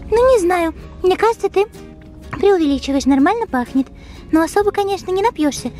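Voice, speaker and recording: A woman voicing a squeaky pampered girl cat. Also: animated, a little fast, dark tone, soft background music. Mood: fussy.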